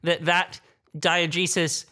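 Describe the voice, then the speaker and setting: A speaker with a medium-pitched voice takes part as a panelist. The talk is conversational critical discussion at a roundtable. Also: level tone, takes it slowly, bright, forceful tone.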